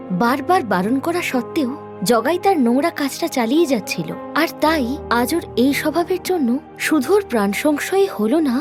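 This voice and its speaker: A woman narrating a scripted moral story expressively, as a storyteller. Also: sits high.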